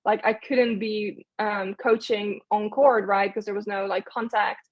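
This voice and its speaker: A woman's voice: mid-range voice, fairly even intonation, unhurried. Young woman on a dull call line. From Netherlands. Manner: chatty, many fillers and restarts. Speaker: guest, agency owner. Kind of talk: casual interview chat.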